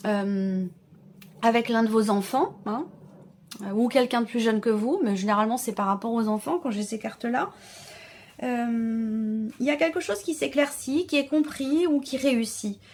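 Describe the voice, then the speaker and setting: A woman with a fairly high voice hosts a tarot reading. She talks informally to her viewers, thinking aloud and often rephrasing as she interprets each card. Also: normal speed, a little hiss or hum. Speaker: tarot reader and channel host.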